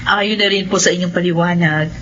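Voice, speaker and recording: A woman's voice: lowish voice, fairly even intonation, a little fast, fairly bright tone, natural voice. An actress playing a respectful churchgoing woman seeking answers, polite and deferential, in a Filipino radio drama. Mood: respectful, searching. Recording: dull radio drama audio, faint noise.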